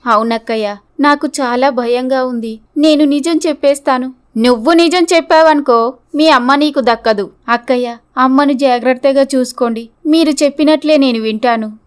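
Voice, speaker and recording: A woman's voice: high-pitched, moderate pace, clean tone, natural voice. A woman voicing young female characters, schemers and their victims, in a dramatised moral story. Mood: anxious, pleading. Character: scheming, greedy, threatening woman blackmailer and her frightened young victim.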